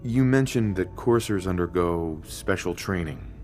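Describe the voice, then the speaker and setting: A man's voice: sits low, measured pace, darkish tone, soft background music. A man playing a confident, inquisitive hero in a video game, direct and conversational. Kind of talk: scripted game dialogue.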